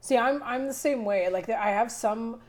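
Brash, frank woman chatting. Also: fairly high pitch. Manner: frank, heavy swearing, quick laughs. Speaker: podcast guest. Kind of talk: candid casual chat.

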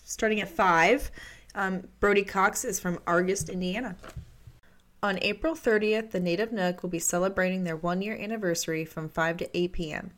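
A woman's voice: medium pitch, a bit bright. A woman hosting a podcast, reading out announcements from notes. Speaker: podcast host.

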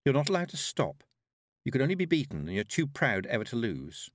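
A man's voice: medium pitch, sing-song, brisk, slight background noise, pitched above the performer's own voice, close to an everyday voice. A man voicing a plucky, clever young apprentice witch, an earnest and direct girl in a storybook reading. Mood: bold.

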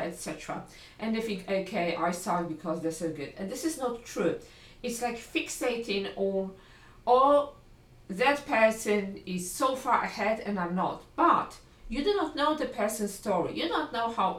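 A woman with a Polish accent, a language teacher giving a lively, encouraging video lesson and often correcting herself mid-sentence. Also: mid-range voice. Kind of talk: video lesson talking to learners. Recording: roomy sound from a distant mic.

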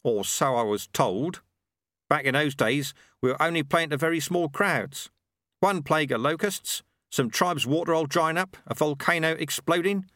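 A man voicing Ronnie, a boastful, touchy former horseman turned milkman, in a storybook reading with a lively, much higher voice. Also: normal speed, dry recording, studio quality. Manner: chatty, boastful, sour at times.

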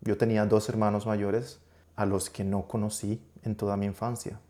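Middle-aged Costa Rican man, lowish voice, hesitant guest, thinks aloud with many restarts. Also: flat delivery, moderate pace, dark tone. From Costa Rica. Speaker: guest, documentary filmmaker and media professor. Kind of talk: casual interview.

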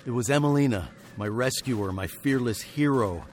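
A man's voice: medium pitch, normal speed, a little hiss or hum, lightly acted. An actor playing a wry fugitive western hero, narrating his tale in a literary, sardonic way for a radio drama. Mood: grave, wry.

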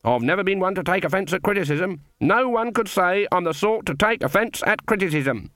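A man's voice: high-pitched, lively intonation, brisk, fairly bright tone, no echo, studio quality, voice pushed up. A man voicing a stern, proud, easily offended old woman witch in a storybook reading, with a snappish, imperious manner. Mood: snappish, indignant.

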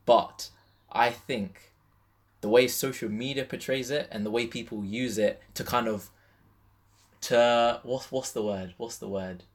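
A man's voice: medium pitch, fairly even intonation, unhurried. A British man with a London accent co-hosting a podcast in a casual, slangy, conversational way. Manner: slangy, conversational, peppered with "yeah" and "like". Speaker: podcast co-host.

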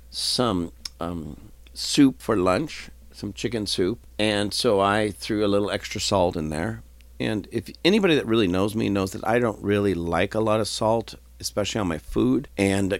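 A man hosting his own podcast, nasal and stuffed up from a cold, talking in a rambling, folksy way and often clearing his throat. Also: lowish voice, no room sound. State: congested, nasal. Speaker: podcast host. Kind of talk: casual solo podcast talk.

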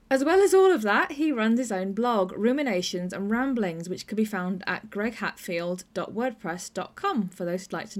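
A woman podcast host giving a scripted introduction to an audio play. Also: medium pitch.

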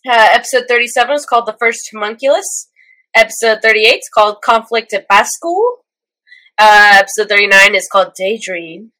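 Playful bright-voiced young woman co-host. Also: fairly high pitch, lively intonation, brisk, poor audio. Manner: playful, teasing, leads the episode rundown. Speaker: podcast co-host. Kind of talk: casual chat.

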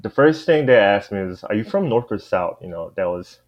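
Deep-voiced man with a Korean accent. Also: expressive, fairly quick. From South Korea. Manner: easygoing, laughs often, fillers like "you know". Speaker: guest. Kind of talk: casual panel discussion. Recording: dull lo-fi sound.

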